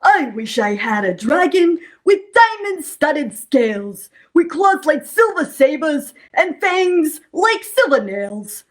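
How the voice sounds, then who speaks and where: A playful, friendly dragon from a children's puppet show, acted by a woman. The voice sits high and takes its time, reciting like a storybook with animated rises and falls. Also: takes it slowly, hardly any pauses, heavily acted. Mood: playful, theatrical.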